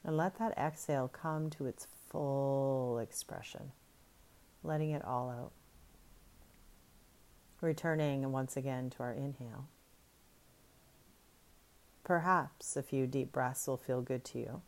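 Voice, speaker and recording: A woman guiding a breathing meditation in soft, soothing, unhurried instructions. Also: rumbling low. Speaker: meditation guide.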